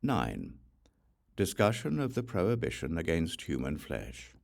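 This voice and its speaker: A man reading a religious text as scripted audiobook narration in a measured, deliberate way. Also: sits low, huge pitch swings, creaky. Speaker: audiobook reader.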